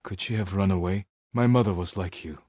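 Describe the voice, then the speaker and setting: An actor playing a stern, honorable young samurai in a video game, speaking low and husky in a restrained, measured, terse way. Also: male voice, a little mellow, no room sound, studio quality. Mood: serious, concerned. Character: stern, honorable young samurai warrior, weary but resolute. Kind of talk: dramatic video game acting.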